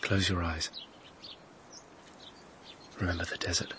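Low-voiced earnest young suitor. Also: male voice, flat delivery, a little slow, fairly bright tone, slight background noise. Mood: earnest, reassuring. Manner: hesitant, sincere. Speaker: actor. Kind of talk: radio drama acting.

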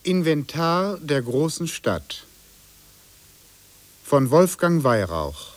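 A man's voice: a little high, sing-song, extremely slow, no room sound. A man formally announcing the title of a radio play.

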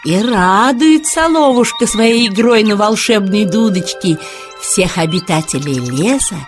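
A woman's voice: mid-range voice, expressive, measured pace. A woman narrating a scripted children's fairy tale as a storyteller. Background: music.

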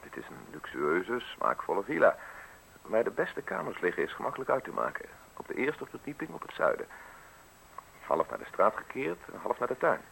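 A sly male stranger in a radio drama, with a lowish, creaky voice that swings widely in pitch. He speaks deliberately and theatrically, with ornate phrases and a show of modesty, over a faintly hissy recording. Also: huge pitch swings, fairly bright tone, exaggerated voice, poor audio. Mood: sly, ingratiating. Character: sly, soft-spoken uninvited male visitor, falsely modest and observant. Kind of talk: Dutch radio play acting.

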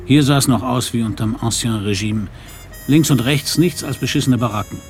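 An actor playing a hard-boiled, middle-aged private detective in a radio crime play, dry, laconic and slangy. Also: male voice, mid-range voice, normal speed, faint music behind, natural voice. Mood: sardonic narrating. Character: hard-boiled, sardonic male Parisian private detective narrator. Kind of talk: noir radio play narration and dialogue. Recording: radio play, some background noise.

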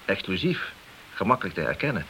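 A man's voice: deep voice, very animated, a little fast, sharp tone, full character voice, low-quality recording. An actor playing an ambitious, glory-seeking policeman in a radio play, insistent and persuasive. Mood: coaxing, boastful. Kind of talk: radio play dialogue acting. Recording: old radio recording with hiss.

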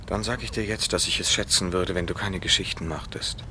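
Actor doing a young gentleman, deep voice, curt and ironic, kinda impatient, radio drama. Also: fairly quick, background noise, close to an everyday voice. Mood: cool, impatient. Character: cool, impatient young gentleman escorting a woman. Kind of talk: radio play acting.

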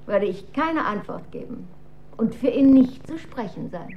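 Radio drama role: imperious dowager empress, formal and coolly manipulative, very unhurried, slightly muffled recording. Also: female voice, high-pitched, expressive, a little mellow. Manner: formal, stately, measured delivery. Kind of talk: German radio drama acting. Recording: dull recording with faint noise.

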